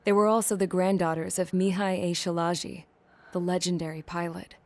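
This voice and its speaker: A woman's voice: fairly low pitch, flat delivery, fairly quick, close to an everyday voice. An actress playing a blunt, streetwise young woman who recounts war in a plain-spoken, wry way for a game cutscene. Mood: matter-of-fact. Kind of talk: game cutscene dialogue and narration. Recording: music behind the voice. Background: music.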